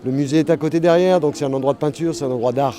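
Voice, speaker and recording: A man's voice: on the high side, rapid-fire, dark tone. A man being interviewed as a painter, talking casually and enthusiastically outdoors at a festival. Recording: music behind, outdoor event.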